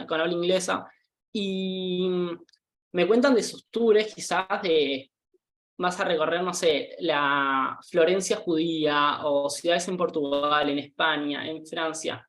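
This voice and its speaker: A man's voice: high-pitched, fairly bright tone. A man in his twenties with a Rioplatense Spanish accent, a tour guide talking casually as a podcast guest, hesitant with many fillers and restarts. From Argentina. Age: 20s. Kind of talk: casual interview chat.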